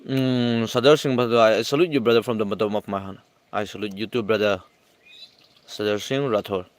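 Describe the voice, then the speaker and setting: A man with a fairly low voice hosts a relaxed livestream and answers viewers' comments one by one. His English is not his first language, so he speaks haltingly and restarts his sentences often. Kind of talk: casual livestream chat.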